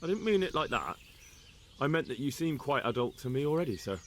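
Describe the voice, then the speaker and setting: An actor playing a humble, earnest young blacksmith's son with a deferential manner in a video game cutscene. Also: male voice, mid-range voice, very animated, brisk, slight background noise, lightly acted. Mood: curious, polite.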